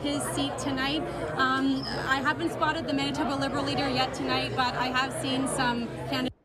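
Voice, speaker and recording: A woman's voice: sits high, flat delivery, brisk, very noisy. A woman working as a TV news reporter, giving a live election report from a busy hotel event room. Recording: loud crowd in busy venue. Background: loud crowd.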